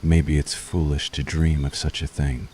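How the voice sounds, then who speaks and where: Man acting as weary witch hunter, extremely low voice, mellow tone, soft-spoken, earnest, conflicted, intimate ASMR roleplay. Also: level tone, measured pace, slight background noise. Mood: yearning, earnest. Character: weary, conflicted male witch hunter yearning for peace, tender toward enemy. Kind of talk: ASMR boyfriend roleplay, intimate scripted monologue.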